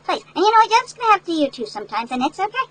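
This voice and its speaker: An older woman reading a picture book aloud to children in an animated, folksy way, with chatty asides that explain things. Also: very high-pitched, very fast, slight background noise. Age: older, great-grandmother. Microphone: headset.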